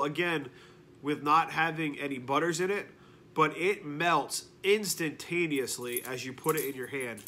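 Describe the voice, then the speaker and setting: A man in his thirties speaks from a living room couch, his voice nasal and congested as he gets over a cold. He sits a little high in pitch and talks to the camera in a relaxed review style. He leans on repeated words to show his enthusiasm. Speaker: beard product reviewer. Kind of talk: casual product review.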